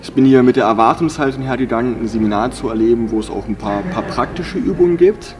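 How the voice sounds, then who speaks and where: A young man giving a casual, relaxed testimonial about a seminar. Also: mid-range voice, fast. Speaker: seminar participant. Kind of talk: interview testimonial. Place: indoor sports hall.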